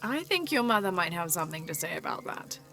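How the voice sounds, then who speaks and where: An actress playing a thoughtful young woman adventurer in a video game, measured and sincere. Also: on the low side, big pitch swings, vocal fry, sharp tone, full character voice. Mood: gentle. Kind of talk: video game cutscene acting. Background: music.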